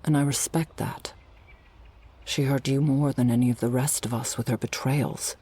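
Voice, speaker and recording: A woman acting an earnest, world-weary orca girl in an audio roleplay, with a gentle, measured delivery. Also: rumbling low, fairly even intonation, husky. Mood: earnest pleading.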